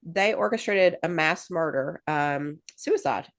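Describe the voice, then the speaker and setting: A woman's voice: low-pitched, unhurried. A woman co-hosting a podcast in casual chat, rambling with lots of fillers and restarts and laughing easily. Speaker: podcast co-host.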